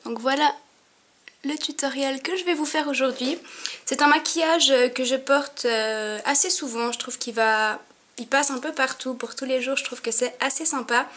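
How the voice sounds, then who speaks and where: A woman's voice: high-pitched, moderate pace, fairly bright tone. A young woman with a cold giving a chatty makeup tutorial at home, with many fillers. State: has a cold, sniffling. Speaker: beauty vlogger. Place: at home, in front of a mirror.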